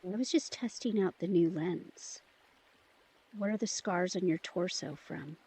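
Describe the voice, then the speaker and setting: Woman playing a husky, inquisitive young female vampire. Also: lowish voice, a little slow, low-quality recording. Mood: intrigued, teasing. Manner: probing, gently teasing. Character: empathic, inquisitive, caring young woman, newly turned vampire. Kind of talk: intimate audio drama acting.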